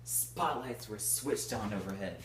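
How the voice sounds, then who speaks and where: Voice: mid-range voice, lively intonation, a little slow. A person giving an amateur comedic reading of a novel aloud, giddy and easily derailed into giggly, sweary, theatrical asides. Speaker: reader in a comedic group book reading. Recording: roomy echo, faint background noise.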